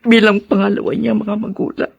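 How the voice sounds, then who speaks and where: A woman playing a tender, ailing adoptive mother in a radio drama, speaking softly and emotionally, with halting phrases. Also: medium pitch, level tone, gravelly, breathy edge, muffled recording. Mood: pleading, tearful.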